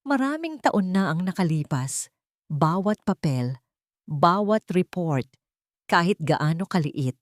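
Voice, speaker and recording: A woman's voice: lowish voice, sing-song, takes it slowly, long pauses, no room sound, studio quality. A woman voicing a determined woman doctor in an audio drama, urgent, commanding and emotional.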